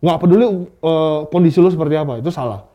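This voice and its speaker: A man's voice: fairly high pitch, quick pace, warm tone, lo-fi sound. A man, speaking as a guest expert in a casual interview, explaining through rhetorical questions and examples. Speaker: guest expert on stock market investing.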